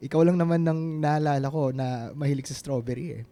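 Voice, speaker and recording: A man's voice: on the high side, moderate pace, warm tone, close to an everyday voice. A man playing a friendly, nostalgic former sweetheart in a romantic radio drama, with a hesitant, boyishly eager manner. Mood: friendly, chuckling.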